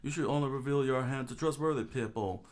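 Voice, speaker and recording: A man's voice: mid-range voice, fairly quick, darkish tone, pitched above the performer's own voice, natural voice. A man voicing a stern, cautious senior man who lectures juniors stiffly in a story read aloud. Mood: admonishing.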